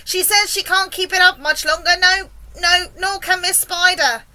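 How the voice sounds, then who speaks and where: Woman acting yelling, bossy centipede. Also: very high voice, steady tone, moderate pace, pitched above the performer's own voice, full character voice. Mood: alarmed yelling. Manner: yelling, exhorting. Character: frantic, bossy male centipede.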